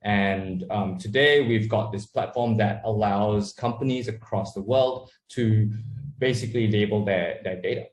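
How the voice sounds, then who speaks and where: A man who was born in Malaysia and raised in Australia, a startup founder answering interview questions in a relaxed, rambling way with many fillers. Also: fairly low pitch, measured pace. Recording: echoey room.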